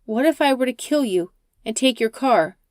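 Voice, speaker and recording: A woman's voice: fairly high pitch, animated, normal speed, no room sound, clean studio sound, pitched above the performer's own voice, lightly acted. A woman narrator playing a distraught, hostile young hitchhiker in an audiobook, with an accusing, emotional delivery. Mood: wary. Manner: shouting, tearful, accusing.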